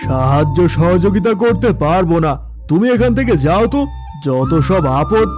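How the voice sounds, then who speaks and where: Male actor as spiteful village rival, greedy, high voice, cartoon dub, background music. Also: lively intonation, moderate pace. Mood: scornful. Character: greedy, gloating village sweet-shop rival, spiteful husband. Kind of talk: Bengali moral-story cartoon dubbing. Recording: music behind the voice.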